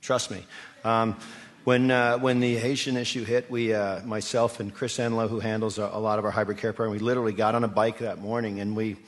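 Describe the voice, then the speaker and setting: A man speaking as an executive guest in a live radio interview, telling stories in a conversational, enthusiastic and persuasive way. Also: lowish voice. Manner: enthusiastic, persuasive, poses rhetorical questions to listeners.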